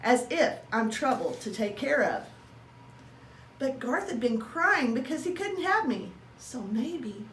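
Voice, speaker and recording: Animated, high-voiced woman reading a storybook. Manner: expressive, acts out dialogue. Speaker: teacher reading a children's book aloud. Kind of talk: animated children's storybook narration. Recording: roomy, distant mic, faint background noise.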